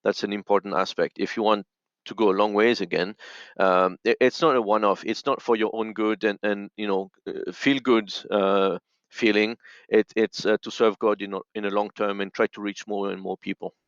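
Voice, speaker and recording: Smooth-voiced guy giving advice on a podcast, halting n measured, dry but dull call audio. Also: on the low side, fairly even intonation, dry recording. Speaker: podcast guest, radio expert. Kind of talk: conversational advice.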